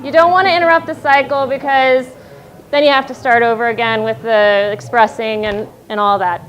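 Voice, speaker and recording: A woman in her thirties telling a wry, self-deprecating story on stage at a live storytelling night, in a loud voice. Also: on the high side, steady tone, normal speed, muffled recording.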